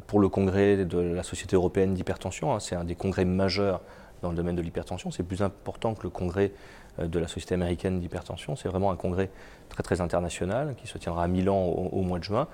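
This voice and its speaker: A man with a cold, an executive answering interview questions in a halting way with many repeated words and fillers. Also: low-pitched, fairly even intonation, warm tone, a little hiss or hum. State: has a cold. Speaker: biotech company executive.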